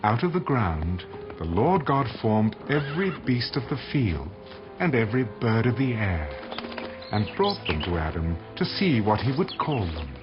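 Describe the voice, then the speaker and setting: A man narrating dramatized scripture in a solemn, measured manner. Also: fairly low pitch, sing-song. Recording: dull audio under loud music.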